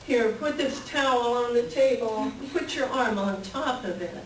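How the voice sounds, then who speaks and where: Woman acting a caring, higher-voiced neighbor friend. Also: a little slow, lightly acted. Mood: soothing, indignant. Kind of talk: staged reading of a one-act play. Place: library room reading before an audience. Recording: echoey room, distant mic, faint noise.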